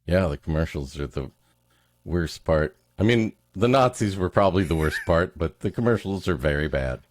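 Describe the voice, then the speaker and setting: A man's voice: deep voice, animated, moderate pace, no echo, studio quality. A man hosting a podcast, trading wry, joking, casual banter. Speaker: podcast host.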